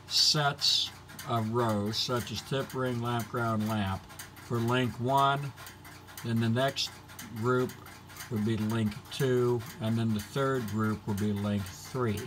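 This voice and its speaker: A man narrating an explanatory demonstration in a methodical, matter-of-fact, technical manner. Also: mid-range voice. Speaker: hobbyist presenter.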